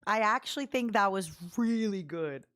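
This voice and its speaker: A woman's voice: mid-range voice, animated, moderate pace, a bit bright. Giggly streamer woman with a Russian accent. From Ukraine. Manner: giggly, self-deprecating, squeals in delight. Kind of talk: casual reaction stream.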